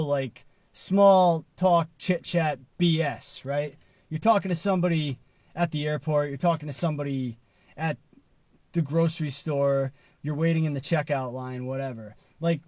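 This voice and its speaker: Male vlogger, roughly forty, somewhat higher register, conversational and emphatic, part reading and part riffing, very dry car-interior sound. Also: a little mellow, no room sound. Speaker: vlogger commenting on news. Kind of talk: casual commentary to camera, part read from an article. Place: inside a parked car.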